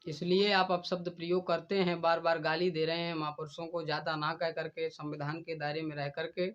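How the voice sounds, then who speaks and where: A man's voice: a little high, level tone. Stern man, dull lo-fi sound. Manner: stern, deliberate, preachy. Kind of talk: admonishing speech addressed to a rival. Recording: dull, lo-fi recording.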